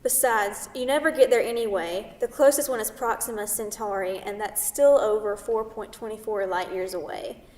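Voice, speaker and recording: A woman's voice: medium pitch, moderate pace, few pauses, darkish tone, close to an everyday voice. A woman reading the part of a brash, teasing New York City woman in a staged play, pushy and playfully sarcastic. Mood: needling, superior. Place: theatre, recorded from a distance. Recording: distant mic in echoey hall.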